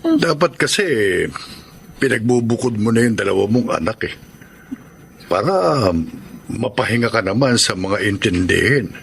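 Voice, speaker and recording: A man's voice: fairly low pitch, expressive, moderate pace. An actor playing a frank, plain-spoken family man in a Tagalog radio drama. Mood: advising. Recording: clear background noise and ambience.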